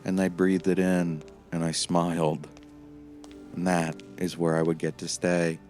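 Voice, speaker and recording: A man playing a weary, haunted time-traveling prisoner who narrates his memories in a measured, somber, reflective way, as in an audio play. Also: sits low, completely flat delivery, darkish tone, faint music behind. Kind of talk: scripted first-person dramatic narration.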